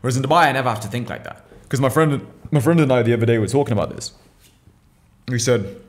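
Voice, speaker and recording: A man's voice: mid-range voice, fast talker. A young man in his twenties with an English accent, talking bluntly on a podcast and swearing freely at a table mic indoors. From England. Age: 20s. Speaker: podcast guest, business owner. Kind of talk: casual podcast conversation. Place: room seen, small room at a table indoors. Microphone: boom mic on an arm.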